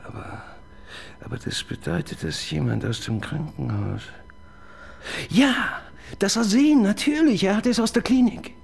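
An actor playing an eager, pedantic police assistant in a radio crime drama, prone to hesitant restarts. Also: male voice, high-pitched, big pitch swings, a little slow, gravelly, a little mellow, husky, heavily acted.

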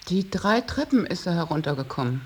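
A woman's voice: fairly low pitch, big pitch swings, fairly quick, a little mellow, slight background noise. An actress playing an anxious, reproachful middle-aged mother in a German radio drama, pleading and scolding. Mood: worried, pleading. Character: anxious, reproachful mother of a wayward adult son.

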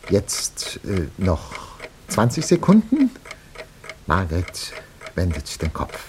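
Deep, theatrical radio announcer. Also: male voice, exaggerated voice. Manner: theatrical, mock-solemn. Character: fussy, flustered, melodramatic male radio announcer. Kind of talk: 1950s German radio drama narration, parody reportage. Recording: 1950s radio recording, faint noise.

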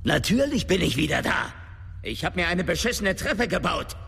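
An actor playing a gruff, foul-mouthed, exasperated boss in a German radio drama, sarcastic and blustering. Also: male voice, high voice, sing-song, moderate pace, bright, forceful tone, exaggerated voice. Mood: irritated, scornful. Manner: sarcastic, cursing, blustering outbursts. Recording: studio production with music bed.

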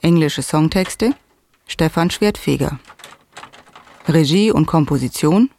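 A man's voice: on the high side, takes it slowly, gravelly, a little mellow. A man reading scripted narration for a radio piece.

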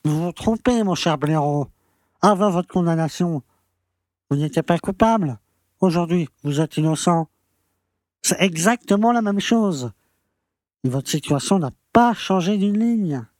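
A man's voice: high-pitched, expressive, measured pace, pauses often, a little mellow, no echo, clean studio sound. A man playing a pompous, condescending and evasive small-town mayor in an audio drama. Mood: haughty, insistent. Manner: pompous, patronizing.

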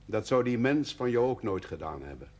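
A man's voice: fairly low pitch, sing-song, fairly quick, a little mellow. An actor playing an amiable, persistent businessman in a conversational, probing way in a television drama. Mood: persuasive. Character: amiable, persistent businessman, expectant father, old rival. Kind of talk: naturalistic television drama acting. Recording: faint noise, 1970s television soundtrack.

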